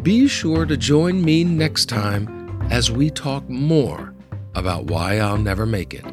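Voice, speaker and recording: A man's voice: mid-range voice, animated, slow pace, music in the background. A man speaking as a podcast host, addressing his listeners. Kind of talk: podcast hosting.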